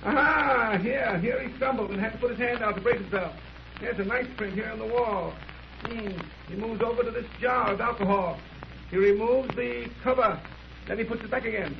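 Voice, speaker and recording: A confident detective in a 1930s radio play, brisk and wryly condescending. His high-pitched voice has a gravelly edge. The old recording is muffled under steady noise. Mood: absorbed, eager. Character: keen, confident male detective with dry teasing wit. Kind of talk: 1930s radio drama acting.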